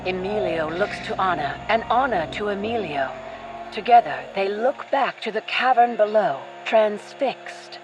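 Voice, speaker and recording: A woman's voice: mid-range voice, expressive, unhurried, background noise, lightly acted. A woman narrator reading scripted adventure storytelling in a theatrical, literary manner. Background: music.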